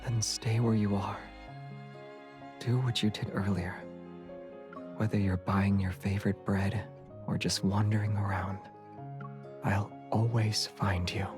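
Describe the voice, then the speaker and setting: An actor playing a devoted young man, a romantic anime love interest, speaking softly and unhurriedly close to the mic. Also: fairly low pitch, level tone, a little slow, pauses often, close to an everyday voice. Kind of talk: intimate romance game voice acting. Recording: game audio under loud music. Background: loud music.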